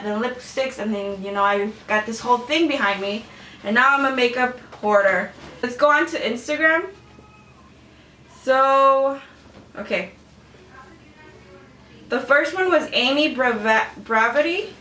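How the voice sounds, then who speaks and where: A woman's voice: high-pitched, pushed and bright. Giggly, chatty young woman, roomy sound. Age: 20s. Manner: chatty, rambling with many "like"s, giggly. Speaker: beauty vlogger. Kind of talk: casual Q&A chat to camera. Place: bedroom filming space. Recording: roomy, from a distance.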